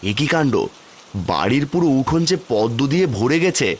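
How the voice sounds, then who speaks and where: A man's voice: medium pitch, expressive, a little fast, a little hiss or hum, lightly acted. A man voicing a humble, simple village father in a cartoon, homely and easily amazed. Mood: delighted. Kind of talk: cartoon fairy-tale voice acting.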